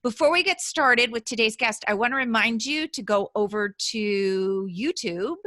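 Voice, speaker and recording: A woman's voice: fairly high pitch, expressive, normal speed, sharp tone, no room sound, studio quality. A woman hosting a podcast interview, chatty and bubbly, laughing often and breaking off mid-sentence. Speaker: podcast host.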